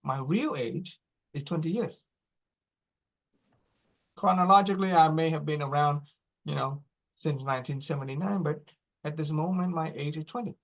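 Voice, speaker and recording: A man in his forties giving a teaching talk, explaining slowly in short phrases and checking in with "right?" and "okay?". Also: a little high, vocal fry, lo-fi sound. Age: 40s. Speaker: speaker.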